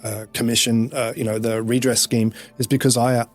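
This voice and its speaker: A man's voice: mid-range voice, flat delivery, brisk, soft background music. A man with an Australian accent, giving an earnest, emphatic interview with frequent restarts. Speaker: interview guest. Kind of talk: earnest personal testimony.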